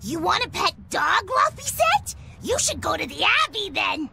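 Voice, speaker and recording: An extremely high, sharp, scratchy voice for a silly little creature. It is pompous and overexcited, shouting with big swoops, in game cartoon style. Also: female voice, big pitch swings, moderate pace, creaky, full character voice. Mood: gleeful. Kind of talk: English game voice acting.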